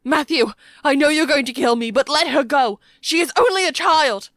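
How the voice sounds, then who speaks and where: Woman as a desperate young captive man, raised pitch. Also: moderate pace, fairly bright tone, no room sound. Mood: desperate pleading. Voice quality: shaky, strained. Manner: pleading, urgent. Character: desperate young male captive noble, pleading for a child.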